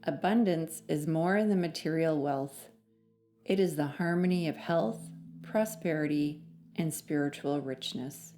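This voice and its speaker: A woman narrating a guided meditation in a soothing, measured manner with long pauses between phrases. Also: low voice, mellow, soft background music.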